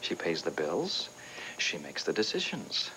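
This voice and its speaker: An actor playing a formal hotel owner who speaks in measured, dryly sarcastic sentences. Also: male voice, low-pitched, wildly swooping pitch, bright, forceful tone, slight background noise, heavily acted, poor audio. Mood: firm. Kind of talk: daytime soap acting.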